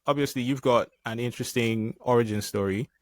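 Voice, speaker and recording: A man's voice: medium pitch, fairly quick, dry recording. Enthusiastic African-born male podcast host. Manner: enthusiastic, repeats words when starting questions. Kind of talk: casual podcast hosting.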